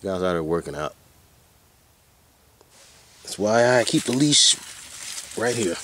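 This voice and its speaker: A low, deep male voice around forty talks off the cuff in a loose, folksy ramble. It is a casual vlog recorded outside, with noticeable ambient noise around him. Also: expressive, takes it slowly, a bit bright. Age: about forty. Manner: folksy, colloquial, drifting into asides to his dogs. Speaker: vlogger. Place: outdoors on a hike. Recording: noisy outdoor ambience.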